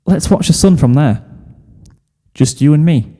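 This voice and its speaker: A man's voice: a little high, lively intonation, measured pace, mellow, long reverb tail, pitched above the performer's own voice. A man voicing a bashful, apologetic young lover, earnest and hesitant, in a fan fiction reading. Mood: fond, reassuring.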